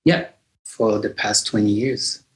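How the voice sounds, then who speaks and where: A man's voice: lowish voice, fairly even intonation, measured pace. A middle-aged man from Bahia with a Brazilian accent, a native Portuguese speaker, talking reflectively as an interview guest and searching for his words. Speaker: guest singer-songwriter. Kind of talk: conversational interview.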